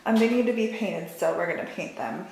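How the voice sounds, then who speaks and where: A woman's voice: medium pitch, expressive, brisk, darkish tone. A woman in her thirties chatting in an upbeat, casual way as she narrates a home renovation tour. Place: empty house rooms under renovation, indoors. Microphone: handheld camera held by speaker. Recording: echoey empty rooms, clear background noise.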